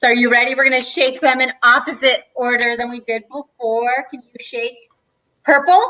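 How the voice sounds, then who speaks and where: A woman's voice: a little high, level tone, normal speed, sharp tone, rough recording. A woman co-hosting children's story time, leading a movement rhyme in a playful, sing-song way.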